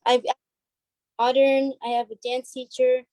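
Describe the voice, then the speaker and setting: A woman's voice: sits high, flat delivery, a little slow, mellow, dry recording. A woman who performs on stage, chatting casually as an interview guest. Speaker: stage play cast member being interviewed. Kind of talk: casual chat.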